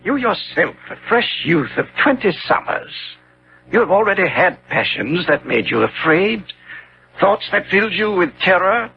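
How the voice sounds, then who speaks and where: An actor playing a dissolute, cynical Victorian lord, suave and theatrical, in a 1970s radio drama. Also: male voice, high voice, very animated, moderate pace, creaky, full character voice. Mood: amused provocation. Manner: suave, epigrammatic, amused, theatrical. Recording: dull, noisy 1970s radio recording.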